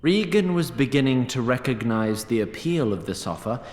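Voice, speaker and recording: Wry, animated male storyteller. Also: normal speed. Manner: droll, wry, bookish. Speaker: narrator. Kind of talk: scripted narration of a fantasy audio drama. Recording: roomy echo, faint rumbling noise.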